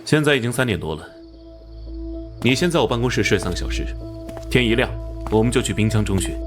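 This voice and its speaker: A man acting an earnest, authoritative police detective captain in a Chinese animated crime drama. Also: mid-range voice, over music, lightly acted. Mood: analytical. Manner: authoritative, brisk, serious.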